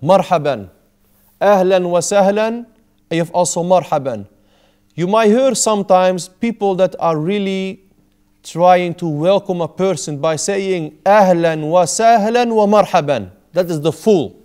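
High-voiced man teaching a class. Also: a little slow, a little mellow. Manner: patient, repeats and explains each word. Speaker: Arabic teacher. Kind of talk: classroom language lesson. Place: small indoor classroom with whiteboard.

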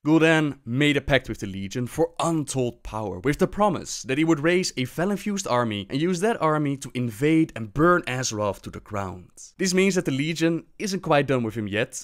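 A man tells fantasy game lore in a mid-pitched voice. He explains it earnestly, in an informal storytelling way. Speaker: lore narrator. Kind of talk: storytelling video narration.

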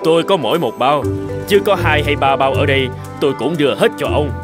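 A man's voice: on the high side, brisk, close to an everyday voice. Guy as a foolish greedy peasant, grumbly and whiny, cartoon, music behind. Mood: gleeful, scheming. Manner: whining, grumbling, excitable. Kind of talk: cartoon folk-tale acting.

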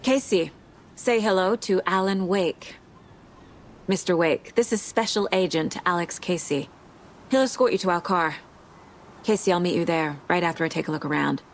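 An actress playing a composed, direct FBI agent in a video game, with a businesslike but concerned manner. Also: female voice, lowish voice, moderate pace, close to an everyday voice. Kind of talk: video game drama acting. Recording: noisy ambience behind the voice.

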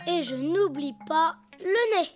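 A very high, childlike cartoon voice for a little boy donkey. He chatters to himself at an unhurried pace, cheerful, imaginative and expressive. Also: soft background music, full character voice. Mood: cheerful, busy.

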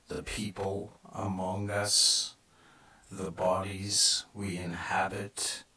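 Slow, echoing low-voiced man guiding meditation. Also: sharp tone. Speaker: guided meditation narrator. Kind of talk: slow scripted guided meditation narration. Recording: echoing, distant from the mic.

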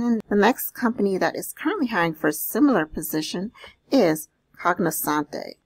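A woman doing an explanatory voice-over, reading out and paraphrasing listings in a deliberate, instructional manner with small check-ins. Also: fairly low pitch, vocal fry, no echo, rough recording. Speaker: host of a job-leads channel.

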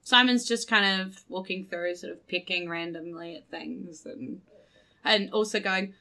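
A woman's voice: lowish voice, measured pace, pushed and bright. A woman with a New Zealand accent chatting casually and playfully as a tabletop game player.

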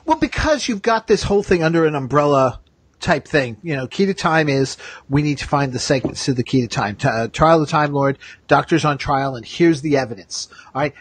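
Guy podcast host, kinda husky, higher voice, talks in halting loops about stuff he has opinions on. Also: lively intonation, no echo. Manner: halting, circling back mid-thought. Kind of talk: casual opinionated TV discussion.

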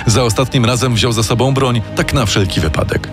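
A man narrating a scripted true-crime radio story in a measured, dramatic way. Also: medium pitch. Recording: narration over loud music.

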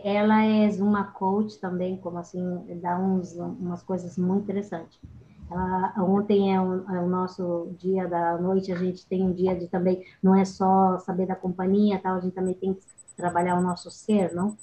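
Mid-aged woman, soft mellow voice, emphatic video call chatter. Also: on the low side, flat delivery, normal speed, poor audio. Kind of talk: casual chat. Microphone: laptop mic on video call.

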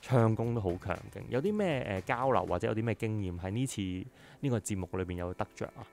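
A man's voice: lowish voice, expressive, quick pace, a little mellow. A man in his thirties with a Hong Kong Cantonese accent, asking questions casually as a radio host. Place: small radio studio, indoors. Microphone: studio microphone on boom, through face mask. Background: music in the background.

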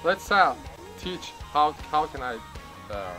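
A young man with a Turkish accent gives a casual gun-safety demo in a gravel field and keeps stumbling over his lines. His English is halting and his pitch swings widely. The camera mic sits a few meters away, with music behind him. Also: big pitch swings, bright, forceful tone, rough recording. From Turkey. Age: young adult. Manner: halting non-native English, laughs through takes. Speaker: first-time shooter presenting a gun. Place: outdoors in a gravel field by a truck.

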